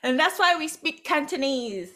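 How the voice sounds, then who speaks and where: A young woman from Macau, co-hosting a podcast and telling stories in a lively casual chat, laughing a lot. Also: high voice, moderate pace. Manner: animated storytelling, laughs a lot. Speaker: podcast co-host.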